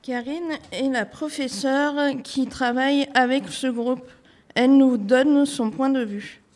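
A woman radio presenter introducing guests in a clear, scripted announcing manner. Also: high-pitched, fairly even intonation, slow pace, darkish tone.

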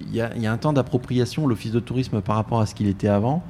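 A man heading a tourist office, answering questions in a live radio interview, with frequent pauses and restarts. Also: medium pitch, dark tone. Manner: hesitant, many fillers and restarts.

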